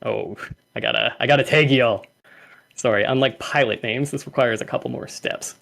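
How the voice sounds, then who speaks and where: A man's voice: mid-range voice, normal speed, gravelly, a bit bright. A young man hosting a livestreamed community call, reading out names and laughing often. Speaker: host.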